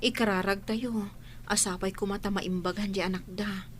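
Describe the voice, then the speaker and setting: A woman's voice: medium pitch, steady tone, normal speed, noisy background, natural voice. A woman acting a sympathetic, prayerful village relative in a scripted audio drama, with music playing behind her. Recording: music clearly under the voice.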